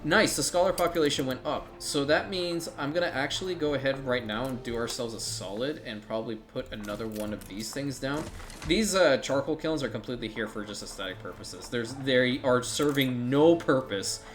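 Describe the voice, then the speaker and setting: A man's voice: mid-range voice, moderate pace. Rambling man narrating his gameplay. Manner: rambling, thinks aloud, frequent restarts and swearing. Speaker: strategy game streamer. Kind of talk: casual gameplay commentary.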